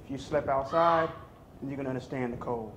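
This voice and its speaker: A man's voice: medium pitch, a little fast, a bit bright, muffled recording. American male interviewee, mid register, plainspoken and sure; slight background noise. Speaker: documentary interviewee. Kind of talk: casual, philosophical interview talk.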